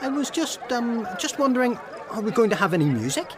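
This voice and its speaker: An eager, cheeky youngster. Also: male voice, very high-pitched, brisk, crowd noise, background noise. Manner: excitable, giggly, hesitant.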